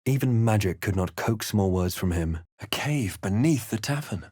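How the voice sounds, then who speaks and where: A man voicing a composed, observant, brooding adventurer in a fantasy video game, with measured, theatrical delivery. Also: lowish voice, animated, a little mellow, dry recording, studio quality. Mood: solemn, watchful. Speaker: video game player-character voice. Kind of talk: dramatic first-person narration and character barks.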